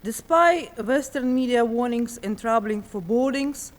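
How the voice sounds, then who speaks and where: A woman from Ukraine with a Ukrainian accent, giving a formal, measured prepared speech with a few hesitations. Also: fairly high pitch, expressive. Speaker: panelist refugee speaker. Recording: long hall reverb.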